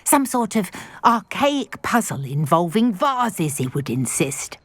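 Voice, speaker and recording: A woman's voice: lowish voice, very animated, slow, no room sound, heavily acted. A woman voicing a chatty, genteel older widow in a video game, wordy and formal. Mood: conspiratorial, eager. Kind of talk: video game dialogue acting.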